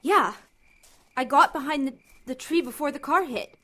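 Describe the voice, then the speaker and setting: A woman's voice: high voice, moderate pace, sharp tone. A woman playing a bossy, protective teenage big sister in an audio drama, snappy and intense. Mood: impatient.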